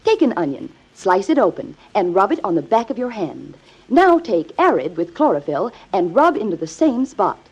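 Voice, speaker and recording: A woman announcer giving an emphatic, instructional scripted sales pitch in 1950s radio advertising style. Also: very animated, normal speed, a little mellow, slightly rough. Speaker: commercial announcer. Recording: dull, lo-fi old radio recording.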